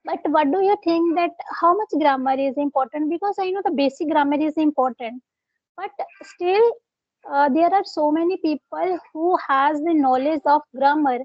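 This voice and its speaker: High-voiced hesitant woman. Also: moderate pace, no echo. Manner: hesitant, many fillers, polite questions. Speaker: English learner. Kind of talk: conversational English practice chat. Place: home. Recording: dry, lo-fi call audio.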